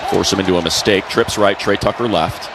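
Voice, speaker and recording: A man's voice: sits low, brisk, gravelly. A man calling live football play-by-play on the radio in clipped phrases, following each play as it happens. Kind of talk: live radio sports commentary. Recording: noisy live stadium broadcast.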